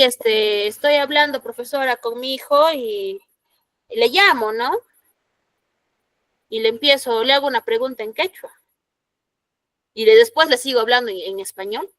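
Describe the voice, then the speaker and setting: Peruvian woman teacher, higher voice. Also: fairly bright tone, dry recording. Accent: Peruvian Spanish. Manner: explanatory, frequent "¿no?" checks, rhetorical questions to students. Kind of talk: classroom lecture.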